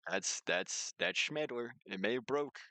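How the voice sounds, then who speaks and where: A man's voice: mid-range voice, lively intonation, unhurried, sharp tone, dry recording. Playful, dry-sounding male game streamer. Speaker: streamer hosting a game playthrough. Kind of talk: casual gaming livestream chat, reading game text aloud.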